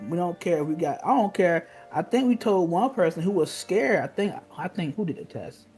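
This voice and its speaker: A man's voice: sits high, lively intonation, normal speed, darkish tone, soft background music. A man reminiscing in casual, slangy talk, emphatic and often repeating himself.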